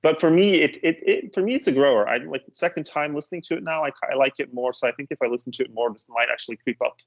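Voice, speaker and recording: Man, thoughtful halting review. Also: fairly high pitch, dull audio. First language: Dutch. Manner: hesitant, repeats words while thinking. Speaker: co-host reviewing songs. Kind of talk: casual review chat.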